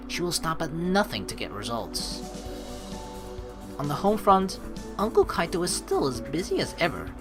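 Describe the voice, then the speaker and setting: A let's play host from the Netherlands, reading a visual novel aloud and adding casual commentary. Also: mid-range voice. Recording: game music behind the voice. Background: game music.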